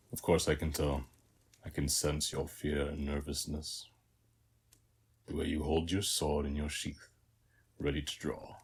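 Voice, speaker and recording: An actor playing a calm, hospitable warrior who guards a seized temple, speaking in an unhurried, measured way in an audio roleplay. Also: male voice, extremely low pitch, slight background noise. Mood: calm, knowing. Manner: unhurried, measured, with long pauses between short phrases. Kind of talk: scripted audio roleplay, speaking softly to the listener.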